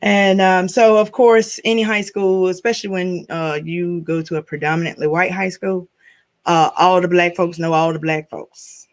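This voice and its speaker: A woman's voice: lowish voice, flat delivery, normal speed. A woman hosting a podcast, chatting casually, teasing her guest and laughing often. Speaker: podcast host. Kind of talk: casual chat.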